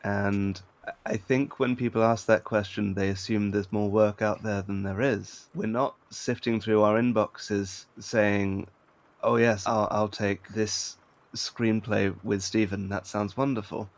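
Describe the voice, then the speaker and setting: Dry, low-voiced man with flat intonation. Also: measured pace, no room sound. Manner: dry, wry. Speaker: voice actor, interview guest. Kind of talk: casual interview talk.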